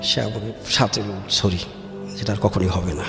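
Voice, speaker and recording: Halting Bangladeshi man in heavy echo. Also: lowish voice, steady tone, gravelly. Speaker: guest telling his life story. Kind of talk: personal storytelling in a radio interview. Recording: echoey hall-like reverb with music. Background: music.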